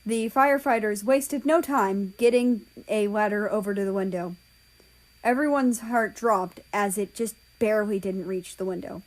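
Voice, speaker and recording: A woman's voice: mid-range voice, normal speed, low-quality recording. A woman reading a story aloud as scripted narration, an amateur audiobook reader.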